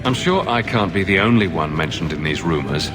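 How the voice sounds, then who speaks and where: An actor playing a sardonic adventurer hero in a video game, with a dry, questioning delivery. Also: male voice, low voice, animated, brisk. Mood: dry, skeptical. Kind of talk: video game dialogue acting. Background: game music.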